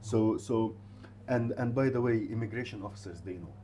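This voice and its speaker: A man whose first language is Arabic, a university representative giving conversational advice to students in an interview, speaking haltingly and repeating short phrases for emphasis. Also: fairly low pitch, warm tone, slight background noise.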